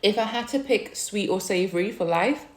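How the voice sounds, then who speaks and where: A woman's voice: mid-range voice, moderate pace. Chatty young woman, echoey room. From Wales. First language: Chinese. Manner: chatty, savouring food with soft hums between remarks. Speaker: food vlogger. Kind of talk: casual eating vlog Q&A.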